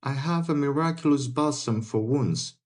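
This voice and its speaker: An actor playing a brave, respectful young adventurer, earnest and formal, in a dramatic audiobook. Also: male voice, medium pitch, normal speed, darkish tone, lightly acted. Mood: earnest, polite.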